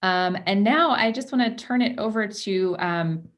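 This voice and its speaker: A woman's voice: mid-range voice, measured pace. A woman giving a prepared report as a board chair at a public meeting. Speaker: outgoing chair of a market board. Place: video conference call.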